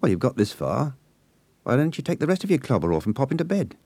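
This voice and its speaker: A man voicing a brash young Cockney working girl, talking bluntly and loudly in a Cockney accent. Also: medium pitch, very animated, quick pace, husky, dry recording, voice pushed up. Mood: gentle, teasing. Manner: blunt, loud and scolding.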